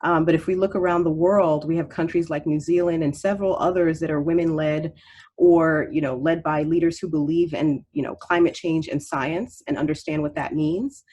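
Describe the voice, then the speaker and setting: A woman's voice: sits low, steady tone, normal speed, darkish tone, slightly rough. A woman from Haiti raised in Miami, a state representative speaking in a candidate forum, confident and assertive. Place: video call.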